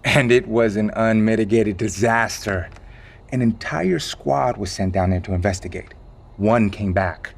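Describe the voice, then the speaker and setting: A low male voice from an actor in an audio drama, playing a calm insider who holds secrets. He explains grim matters in a measured, matter-of-fact way and stays evasive when pressed. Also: creaky. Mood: grave, measured.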